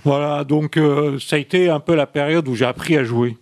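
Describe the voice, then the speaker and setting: A man's voice: a little high, normal speed, darkish tone, dry recording, clean studio sound. A man who is a musician guest, speaking French though it is not his native language, rambling and reflective. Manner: rambling, reflective, many restarts. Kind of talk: casual interview answers.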